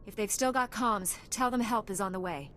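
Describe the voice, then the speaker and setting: A woman playing a calm, resolute Jedi knight in a video game, composed and concise. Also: mid-range voice, moderate pace, slight background noise, natural voice. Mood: composed, determined.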